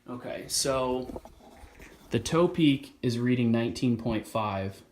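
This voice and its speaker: Male product reviewer, medium pitch, casual and unscripted, thinks out loud, recorded in an echoey space. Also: animated, takes it slowly. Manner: casual, hesitant, thinking aloud.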